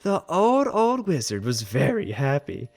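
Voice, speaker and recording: Casting: male children's book reader, slow and expressive storybook delivery, highly varied pitch. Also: gravelly, dry recording. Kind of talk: animated storybook read-aloud.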